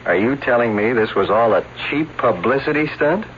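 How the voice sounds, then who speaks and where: An actor playing a stern police lieutenant with a gravelly voice, questioning pointedly in an old-time radio drama. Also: male voice, medium pitch, animated, normal speed, natural voice. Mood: incredulous. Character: stern, sardonic police lieutenant interrogating a man. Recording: muffled, hissy vintage radio recording.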